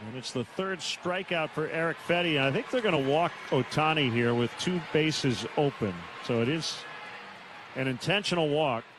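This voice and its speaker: A man with a fairly high voice calls a baseball game live for radio. He works as the play-by-play announcer from a stadium booth, with noticeable ambient noise behind him. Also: normal speed. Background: outdoor ambient stadium noise.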